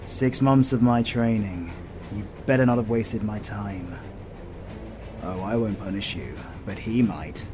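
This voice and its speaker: A man's voice: lowish voice, a little slow, close to an everyday voice. A man with a British accent playing a stern, sardonic demon hunter who mentors his apprentice in a fantasy audio roleplay, dry and commanding. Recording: music behind the voice. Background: music.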